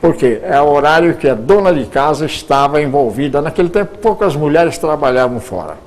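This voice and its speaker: An older Brazilian man, a veteran radio actor, telling stories in an unhurried way with pauses and restarts as an interview guest. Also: on the high side, expressive. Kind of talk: reminiscing interview answers.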